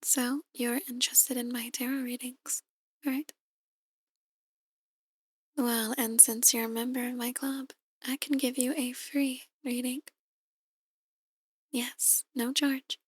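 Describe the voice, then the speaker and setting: A woman playing a soft-spoken, ominous demon tarot reader in an ASMR roleplay, speaking in a measured, breathy near-whisper. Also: high voice, little pitch movement, no echo, heavily acted. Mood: calm, inviting. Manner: slow, measured, gently ominous. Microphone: close, dry microphone.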